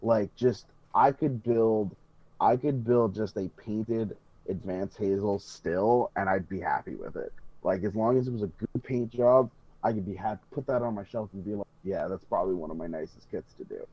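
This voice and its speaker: A man's voice: lowish voice, normal speed, husky, no echo, dull audio. A man co-hosting a hobby podcast, chatting casually with emphatic, sweary, rambling enthusiasm. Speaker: podcast co-host.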